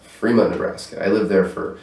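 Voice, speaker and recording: A man's voice: sits low, fairly even intonation, a little fast, creaky, a little mellow. A man talking loosely in a casual interview, swearing freely and laughing often, in his own apartment room. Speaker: stage manager interviewed as guest. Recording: roomy echo, voice far from mic.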